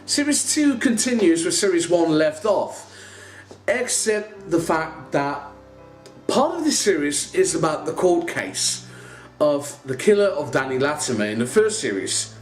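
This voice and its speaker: A man's voice: fairly high pitch, sing-song, unhurried. Wry Dutch man in echoey room. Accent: light English. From Netherlands. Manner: rambling, wry, swears casually. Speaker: vlogger reviewing a TV series. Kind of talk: casual chatty review. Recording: echoey room with faint guitar music.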